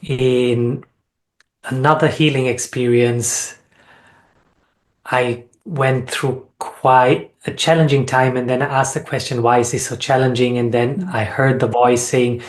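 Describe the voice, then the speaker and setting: Even-toned, earnest man in reflective conversation. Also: medium pitch, a little slow. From Austria. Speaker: sound healing facilitator and interview guest. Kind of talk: reflective interview talk about personal experience.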